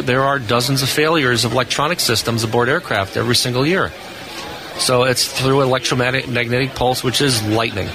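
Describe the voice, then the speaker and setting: An older man co-hosting a live radio show, telling jokey stories and explaining radio lore in a casual chat. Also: medium pitch. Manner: jokey storyteller. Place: convention floor booth. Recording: noisy live convention floor.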